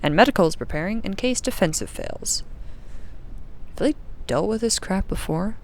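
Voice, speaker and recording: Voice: medium pitch, animated, moderate pace, close to an everyday voice, rough recording. An actor playing a sardonic, uneasy young crew member recording an audio diary in a casual, rambling, sarcastic way. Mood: sarcastic, agitated. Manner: wry, sarcastic, informal, rambling. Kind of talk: audio drama diary monologue, casual and conversational.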